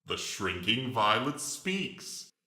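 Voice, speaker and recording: A man's voice: on the low side, very animated, takes it slowly, pushed and bright, full character voice. A man playing a massive reptilian space pirate commander in a sci-fi dramatic reading, mockingly courteous and grandiose in a drawling manner. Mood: amused, mocking. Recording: echoing, reverberant voice track.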